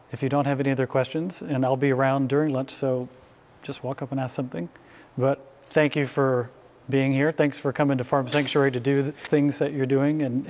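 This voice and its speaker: A middle-aged man, a chef giving an informal live cooking demonstration in an easygoing, conversational way. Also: mid-range voice. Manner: easygoing, conversational, invites questions. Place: indoor hall before a small audience.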